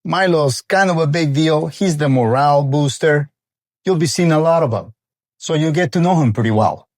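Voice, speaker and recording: A man's voice: on the high side, moderate pace, darkish tone. A man in his forties from El Salvador, a renovation company founder, introducing his channel in an earnest, friendly way.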